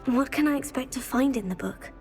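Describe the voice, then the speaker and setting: Higher-voiced young witch student. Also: brisk, a little mellow, slightly rough, faint music behind. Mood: wary, curious. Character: young female witch student, earnest and inquisitive. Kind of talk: video game voice acting.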